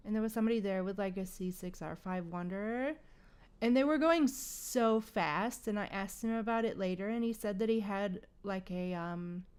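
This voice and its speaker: A woman's voice: mid-range voice, normal speed, a little mellow, clean tone. A woman streaming a game, chatting casually with viewers in gamer slang. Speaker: game livestreamer. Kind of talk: casual livestream chat.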